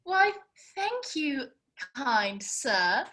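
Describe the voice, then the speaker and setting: A woman acts out a cheeky, blunt young woman in a screen comedy read-through. Her voice is bright and highish, with very lively swings in pitch as she teases. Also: extremely slow, heavily acted. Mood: teasing. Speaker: actor in a script read-through. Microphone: video call.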